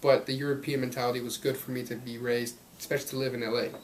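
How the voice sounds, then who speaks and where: A man's voice: medium pitch, level tone, racing, slight background noise. A young man in his twenties, raised partly in Belgium, talking about his acting career as a guest in an interview. He speaks in an upbeat, rambling way, laughing often. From Belgium and Los Angeles. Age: 20s. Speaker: actor guest. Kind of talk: casual interview talk.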